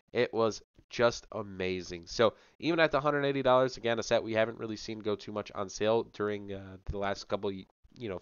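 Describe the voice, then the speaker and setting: Young man with a smooth low voice. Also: a bit bright, no room sound, highs cut off. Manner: chatty, filler-heavy, enthusiastic. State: sick, voice a little off. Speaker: channel host. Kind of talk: casual product rundown to camera. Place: small home room with gaming chair. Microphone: headset mic.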